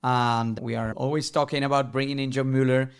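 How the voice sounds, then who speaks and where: Gravelly male podcast host. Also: mid-range voice, flat delivery, fairly quick. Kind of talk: conversational interview hosting.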